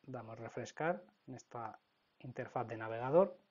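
A man's voice: medium pitch, expressive, normal speed, darkish tone, dull audio. Spanish man explaining a firmware update. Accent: Spanish from Spain. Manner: explanatory, frequent "¿vale?" check-ins. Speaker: product trainer. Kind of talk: screen-recorded software tutorial.

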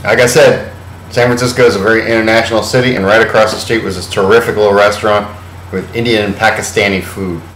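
A man's voice: fairly low pitch, fairly even intonation, quick pace, fairly bright tone, heavy reverb, far from the mic, a little hiss or hum, low-quality recording. A man hosting a comedic home cooking show, talking in a sardonic, irreverent way and swearing casually, in a home kitchen. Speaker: host.

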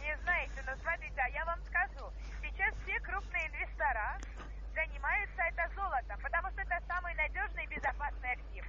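A woman giving an insistent sales pitch over the phone, peppering the listener with questions. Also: fairly quick. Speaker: cold-call investment salesperson. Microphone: phone call. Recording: noisy muffled telephone line.

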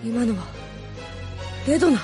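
A gentle young boy hero in a fantasy audio drama. The voice is high and sincere, soft-hearted yet earnest. Faint music sits behind it. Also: expressive, measured pace. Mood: troubled, resolute. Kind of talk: Japanese audio drama acting.

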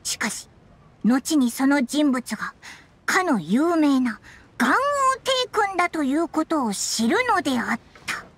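Little fairy mascot, squeaky child voice, animated, slower-paced, boyish blunt phrasing, video game. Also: female voice. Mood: reading aloud. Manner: boyish, blunt, expressive speech. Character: tiny childlike floating fairy companion, excitable and greedy. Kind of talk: Japanese game voice acting.

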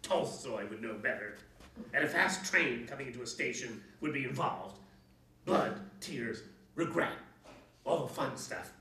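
A man's voice: medium pitch, expressive, a little slow, bright, forceful tone. A man voicing a cranky, theatrical old female witch who grumbles and hisses sarcastically. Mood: scornful. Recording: echoey room, mic at a distance.

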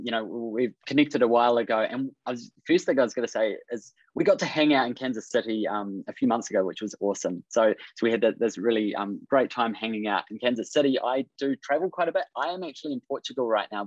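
A man's voice: mid-range voice, fast talker, dry recording. Upbeat New Zealand man hosting webinar. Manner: upbeat and encouraging, many fillers and restarts. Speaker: business coach, webinar host. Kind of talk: casual webinar presentation. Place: indoor room on video call. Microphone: video call. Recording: dry, dull video call audio.

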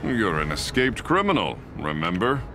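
A man playing an upright, steadfast superhero in an animated game cutscene, earnest and authoritative. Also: lowish voice, moderate pace, music in the background. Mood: stern.

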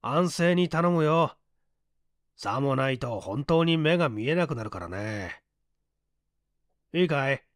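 Man playing a cool, composed gentleman sleuth. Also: mid-range voice, takes it slowly, long pauses, dry recording, studio quality. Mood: calm, controlled. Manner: calm, polite, clipped authority. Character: cool, composed gentleman detective, politely menacing.

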